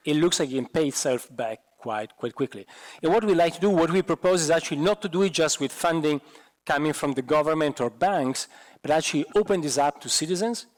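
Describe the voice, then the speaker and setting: Hoarse Italian man lecturing in a hall. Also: on the high side. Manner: animated, many restarts and fillers. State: hoarse, losing his voice. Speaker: guest lecturer. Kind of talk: university architecture lecture. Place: large university lecture hall. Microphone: headset mic. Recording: lecture hall with long reverb.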